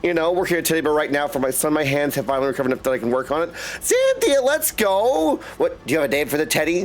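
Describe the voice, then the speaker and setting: A man's voice: a little high, sing-song, fast talker. A man hosting a casual livestream, chatting effusively with viewers, often exclaiming and calling them dudes. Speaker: live streamer and host.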